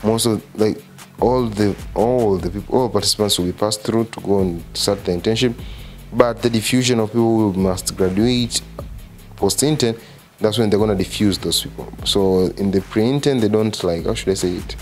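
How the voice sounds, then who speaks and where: Young Tanzanian man, Swahili-speaking, low-pitched, informal vlogger who rephrases himself; living room, handheld mic. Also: normal speed, faint music behind. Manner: informal, repeats and corrects himself. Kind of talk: casual explanatory vlog. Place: living room, seated on a sofa. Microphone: handheld microphone.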